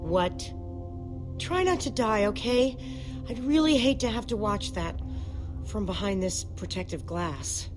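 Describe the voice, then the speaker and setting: A woman playing a blunt, sardonic young adventurer sidekick in a video game, brisk and sarcastic, with music behind her. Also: mid-range voice, animated, natural voice. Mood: dry, teasing. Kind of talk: natural video game voice acting. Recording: faint music under the voice.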